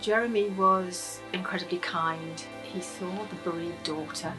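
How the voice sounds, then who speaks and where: A woman's voice: fairly low pitch, steady tone, measured pace. A woman giving an earnest testimonial in a campaign video. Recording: loud music bed.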